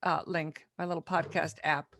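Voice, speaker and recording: A woman hosting a podcast in casual interview chat, speaking informally and a little haltingly. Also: sits low, fairly even intonation, fairly bright tone, a little hiss or hum. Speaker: podcast host.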